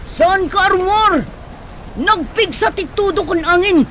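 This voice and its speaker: A woman's voice: very high voice, slow, fairly bright tone, full character voice. A woman playing a worried, exclaiming companion caught in a storm in a Philippine radio drama. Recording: faint storm-like noise.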